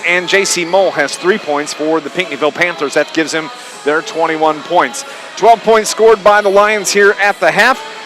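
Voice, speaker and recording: An American man calling high school basketball live on radio in a conversational way, from a gymnasium. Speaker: radio play-by-play sports announcer.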